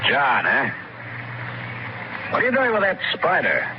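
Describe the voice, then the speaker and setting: An actor playing a gruff, suspicious prospector father in a 1950s radio drama, talking in a blunt, folksy, commanding way. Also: mid-range voice, wildly swooping pitch, measured pace. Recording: noisy, muffled old radio recording.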